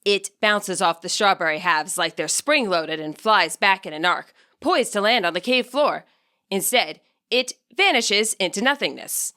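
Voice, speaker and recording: A woman reading a scripted story as its narrator, with a wry, expressive delivery. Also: mid-range voice, a little slow, smooth. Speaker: author narrating own fan fiction audiobook.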